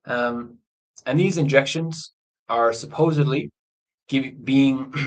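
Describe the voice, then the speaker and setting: A man teaches English by telling a true story, speaking slowly and plainly. His pitch sits in the middle range for a man. He pauses to explain words during a live stream. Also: rough recording. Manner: slow, clear explanations of key words. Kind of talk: slow storytelling lesson for learners. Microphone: livestream microphone.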